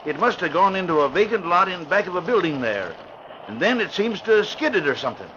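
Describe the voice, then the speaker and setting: A man playing a brisk police sergeant in an old radio drama, reporting in a matter-of-fact way. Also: sits high, sing-song, pushed and bright, exaggerated voice. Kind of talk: 1940s radio acting. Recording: muffled old radio broadcast with faint hiss.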